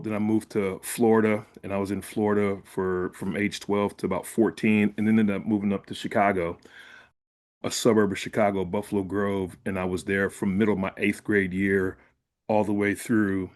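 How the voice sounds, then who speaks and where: A man in his fifties from Ohio, a former college fullback, telling stories in a relaxed, reflective way as a guest in an interview. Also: fairly low pitch. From Columbus, Ohio. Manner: relaxed, reflective storyteller with frequent "like". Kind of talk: casual interview storytelling.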